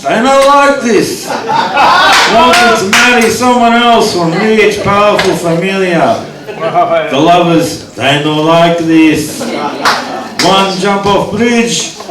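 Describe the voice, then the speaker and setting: Man doing a Slavic-accented storyteller, broken English, high and loud, echoey room, audience around. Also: recorded from a distance, noisy background. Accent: Slavic, put on. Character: man telling an old love story, heavy Slavic accent.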